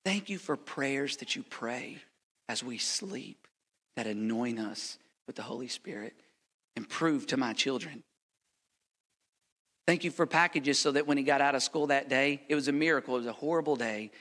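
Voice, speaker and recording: A bright, forceful male preacher from the United States delivers a heartfelt missionary sermon in a church sanctuary. His voice echoes with a long reverb and swings from ringing appeals down to near-whispered lines. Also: mid-range voice, moderate pace. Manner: passionate, preacherly, swings from loud to hushed. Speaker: missionary pastor. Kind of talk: sermon with a prayer. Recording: reverberant hall.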